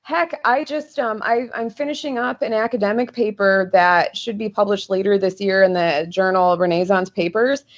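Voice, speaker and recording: A woman's voice: medium pitch, fairly even intonation, moderate pace, few pauses. A woman talking as a guest historian on a podcast, earnest and explanatory, with small restarts. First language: English.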